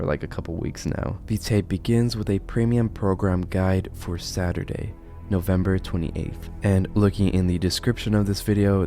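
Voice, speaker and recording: Deep, mellow man narrating. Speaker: online video host and narrator. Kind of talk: horror video commentary.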